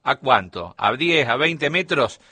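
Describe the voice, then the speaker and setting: A man's voice: medium pitch, animated, takes it slowly, bright, forceful tone, slightly rough, no room sound. A middle-aged man with a Rioplatense Spanish accent, explaining a topic as a radio columnist in a studio chat. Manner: explanatory, storytelling delivery.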